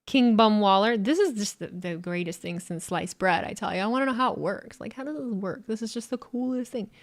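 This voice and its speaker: A woman's voice: medium pitch, a little fast, dry recording. A woman streamer chatting casually, crude and self-amused, laughing often at her own jokes. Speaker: streamer reacting to wrestling. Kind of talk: casual, profane stream chat.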